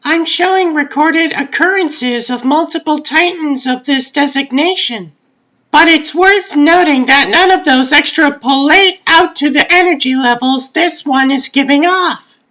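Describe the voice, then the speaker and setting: A woman voicing a self-assured young scientist in a comic book dub, matter-of-fact, measured and analytical. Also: high-pitched, fairly bright tone. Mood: clinical, confident.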